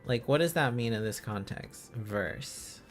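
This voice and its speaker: A man chatting casually as a livestream host, musing aloud in halting, repeated phrases. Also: mid-range voice, lively intonation, faint music behind. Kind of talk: casual livestream chat while learning a language.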